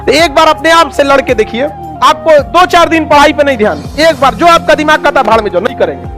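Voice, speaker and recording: High-pitched man giving a motivational talk. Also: animated, fast talker, bright, forceful tone. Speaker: motivational speaker. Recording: music behind the voice. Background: music.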